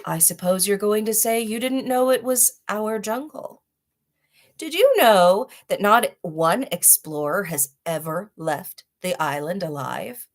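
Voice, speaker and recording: A woman's voice: medium pitch, expressive, unhurried. Woman voicing a taunting, hungry storybook tiger. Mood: menacing, taunting. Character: pack of hungry, menacing male tigers. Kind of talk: storybook read-aloud.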